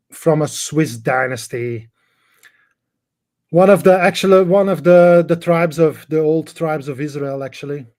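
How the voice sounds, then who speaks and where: A man's voice: medium pitch, a little mellow. Hesitant Flemish-accented middle-aged man. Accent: Flemish Belgian. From Belgium, Dutch-speaking part. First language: Dutch. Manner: halting, hesitant, many restarts and fillers. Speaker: caller. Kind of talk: casual call-in chat.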